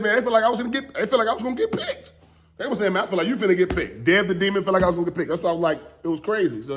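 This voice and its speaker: A man and battle rapper with a strained, hoarse voice, recapping his round in loose, rambling casual talk. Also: fairly high pitch. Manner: loose, rambling, repeats "you know what I'm saying". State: losing his voice.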